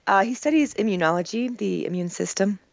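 A woman in her thirties, hoarse from a cold, chatting casually as a podcast guest in a self-deprecating, laughing way. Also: mid-range voice, expressive, fairly quick, dry recording, dull audio. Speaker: podcast guest, marriage blogger. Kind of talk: casual interview chat.

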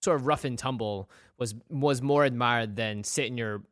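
A man's voice: mid-range voice, moderate pace, a bit bright, dry recording, studio quality. A man talking casually and conversationally on a podcast.

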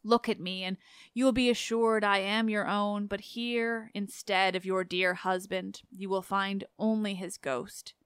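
A woman voicing the ghost of a drowned husband, speaking in a hushed, slow, sorrowful way. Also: mid-range voice, flat delivery, clean tone, no echo, clean studio sound, close to an everyday voice. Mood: mournful.

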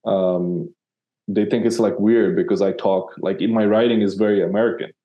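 A man's voice: lowish voice, steady tone, moderate pace, muffled recording. A man from Sri Lanka, a copywriter guest in an interview, talking casually and rambling with many fillers and restarts.

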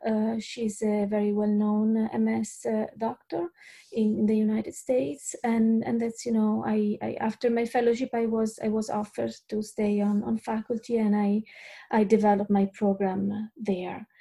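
Italian-accented woman, husky and gravelly. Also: a little high, flat delivery, a little slow, warm tone. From Italy. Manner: hesitant, many fillers and restarts. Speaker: neurologist and researcher guest. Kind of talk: podcast interview.

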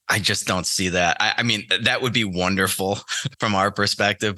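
American man, deep voice, sharp forceful edge, conversational interview answers, podcast guest. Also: no echo. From United States. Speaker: podcast guest, trading educator.

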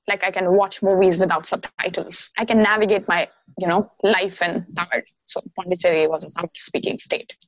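A woman's voice: mid-range voice, no echo, poor audio. Woman, Indian English accent, native Maithili speaker, earnest podcast guest, frequent fillers, close dry sound. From Bihar, India. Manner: earnest, talks with many "you know" fillers. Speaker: guest, diversity researcher. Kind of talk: casual podcast conversation.

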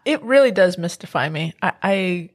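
A woman co-hosting a podcast in casual chat, animated and full of fillers. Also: fairly low pitch, normal speed. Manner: animated, uses lots of fillers like "like". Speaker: podcast co-host.

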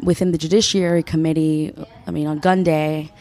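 A woman in her twenties, a state legislator from the United States, giving earnest, measured answers as a podcast guest, with frequent ums. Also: sits low, little pitch movement, mellow. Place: conference venue.

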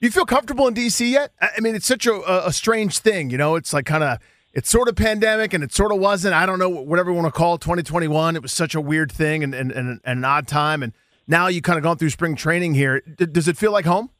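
A male sports radio co-host speaks at a rapid clip in a slightly high voice. He interviews casually and chattily, and the sound is very dry, free of room echo. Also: fairly bright tone.

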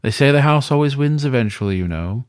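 A man performs a courtly weasel host for a storybook tale. He speaks in genteel, old-fashioned phrases with a teasing, suave temper, swinging widely in pitch, recorded close and dry. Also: lively intonation, brisk, clear, resonant tone, no echo, natural voice. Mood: smooth, gracious. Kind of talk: audiobook character voice.

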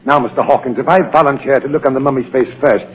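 A man's voice: medium pitch, steady tone, fast talker, dull audio, natural voice. A man playing a defensive, guilty museum villain in a 1930s radio drama, with an indignant, theatrical delivery. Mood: persuasive. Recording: echoing old 1930s radio recording.

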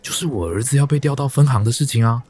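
A man's voice: medium pitch, fast, a little mellow, husky, natural voice. An actor with a Taiwanese Mandarin accent playing an insistent, pragmatic older father who meddles, in a radio drama. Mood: displeased, pressing.

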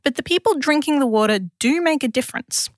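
A woman's voice: high voice, lively intonation, brisk, dry recording. Australian woman narrating science, dry close sound. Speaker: science journalist and presenter. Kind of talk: scripted science explainer narration.

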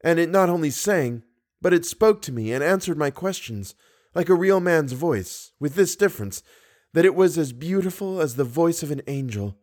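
A man reading an audiobook, voicing a frightened young woman opera singer in a raised, hushed, pleading voice. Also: fairly high pitch, animated, normal speed, pitched above the performer's own voice. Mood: confiding. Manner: fearful, pleading, breathy hush.

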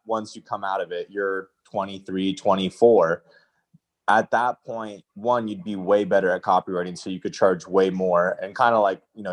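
A man in his early twenties chatting casually, reflective and roundabout as he questions himself. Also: low voice. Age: early 20s. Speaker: freelance copywriter asking for advice. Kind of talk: casual chat.

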